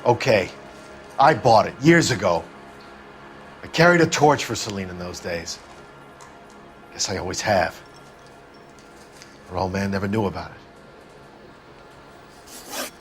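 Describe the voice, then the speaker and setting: Gruff middle-aged 1940s bar owner. Low-pitched, world-weary, blunt, slangy, crime game. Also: male voice, takes it slowly, pauses often, soft background music. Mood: resigned. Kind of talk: video game voice acting.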